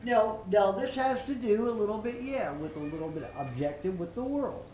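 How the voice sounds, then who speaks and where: An older woman giving informal Bible teaching as a story, in a folksy, halting way with repeated words and frequent pauses. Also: mid-range voice, lively intonation, warm tone. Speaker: preacher telling a story. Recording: roomy, distant mic with faint noise.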